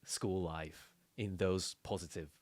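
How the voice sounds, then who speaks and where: A man, co-hosting a casual podcast in a halting way, often repeating words. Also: deep voice, fairly even intonation, no echo, clean studio sound. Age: 30s. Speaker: podcast co-host.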